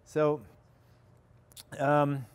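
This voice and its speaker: A man's voice: a little high, clear, resonant tone. Older boston guy giving a campus talk, rambly, lots of um and restarts, big lecture hall. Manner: rambling storyteller, many restarts and fillers. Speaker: guest lecturer, acupuncturist. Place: large lecture hall with audience.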